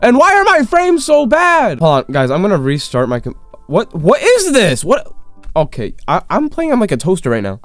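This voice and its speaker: A sick, slightly congested man giving excitable, rambling gameplay commentary with quick, frustrated outbursts. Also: high voice. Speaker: gaming YouTuber. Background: faint game music.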